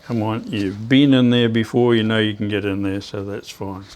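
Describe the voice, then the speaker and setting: A man presenting practical DIY tips in a casual, unscripted demonstration, often pausing mid-phrase to search for words. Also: lowish voice, level tone, a little mellow.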